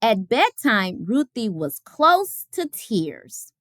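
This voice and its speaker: A woman reading a children's picture book aloud as a storyteller, with expressive, careful phrasing. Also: on the high side, takes it slowly, dry recording, studio quality.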